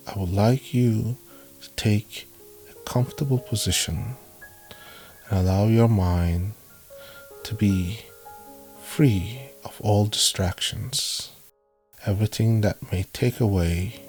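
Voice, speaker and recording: A man narrating a guided meditation in a measured, instructive way, with frequent pauses between phrases. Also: on the low side. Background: background music.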